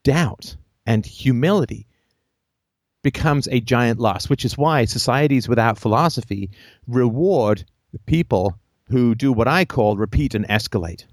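A man hosting a call-in show, talking in a lively, emphatic way and repeating phrases for effect. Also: medium pitch, unhurried, no echo.

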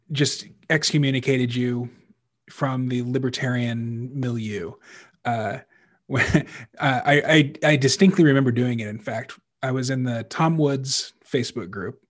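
A man hosting a podcast, introducing his guest with a hesitant, filler-heavy delivery. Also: mid-range voice. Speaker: podcast host. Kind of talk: casual interview talk.

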